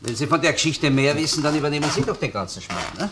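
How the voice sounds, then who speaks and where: An actor playing a gruff, sardonic Viennese police investigator in a colloquial crime radio play. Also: male voice, on the high side, expressive, quick pace, noisy background, lightly acted. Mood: sardonic, irritated. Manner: colloquial, sardonic, keeps tagging sentences with "ne?". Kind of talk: German-language crime radio play acting.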